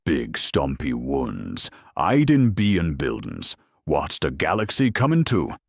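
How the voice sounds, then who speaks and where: A man voicing a gloomy, contemptuous ork mechanic in a fantasy audio story, with rough, slangy speech. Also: rumbling low, wildly swooping pitch, takes it slowly, no room sound, studio quality, pitched above the performer's own voice, exaggerated voice. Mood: indignant griping. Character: gloomy, contemptuous ork big mek griping darkly.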